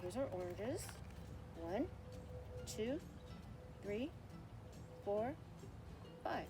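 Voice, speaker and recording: A woman reading a children's story aloud as a naturalist, with simple expressive phrasing and asides that put questions to young listeners. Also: mid-range voice, very slow, over music. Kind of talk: children's story time read-aloud with show-and-tell asides.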